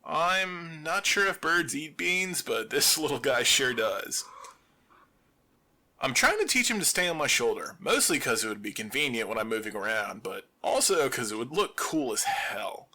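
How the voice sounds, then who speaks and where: A man performing a scripted audio drama monologue as a lone survivor hosting a radio show, with wry deadpan humor. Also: mid-range voice, moderate pace.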